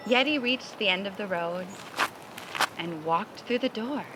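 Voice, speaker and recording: A woman reading a rhyming children's story aloud in an expressive, gentle, deliberate way, with music behind her. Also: medium pitch, rough recording. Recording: prominent music under the voice.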